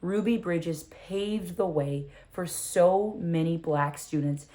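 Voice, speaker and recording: American woman, read-aloud presenter, lowish pitch, slow measured pace, earnest, articulate. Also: dark tone. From Louisiana, United States. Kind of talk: earnest spoken reflection on a children's book.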